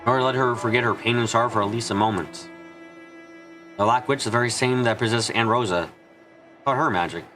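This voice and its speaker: A man giving an earnest amateur dramatic reading of a visual novel, halting for dramatic pauses. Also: mid-range voice, pushed and bright. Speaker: amateur fan dubber. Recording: music behind the voice. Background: background music.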